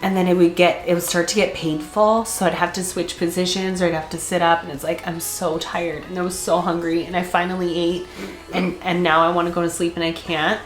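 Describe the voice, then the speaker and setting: A woman's voice: fairly low pitch, flat delivery, a little fast, soft background music. A congested woman vlogger chatting casually, laughing often and filling her speech with fillers. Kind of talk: casual chat. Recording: echoey room.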